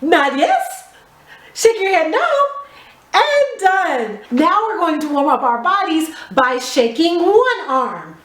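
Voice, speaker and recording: A high-voiced woman leads young children through a drama lesson. Her delivery is slow and very melodic, with carefully enunciated, expressive instructions. The room adds a noticeable echo. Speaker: children's theatre teacher. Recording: echoey room.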